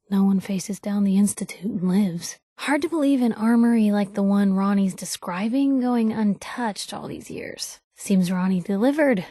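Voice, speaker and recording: An actress playing a feisty, wry young newspaper reporter in a video game, with an American accent and a conversational, sardonic delivery. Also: female voice, mid-range voice, no echo, studio quality, close to an everyday voice. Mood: thoughtful. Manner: sardonic, conversational, quick-witted asides. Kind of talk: video game companion dialogue.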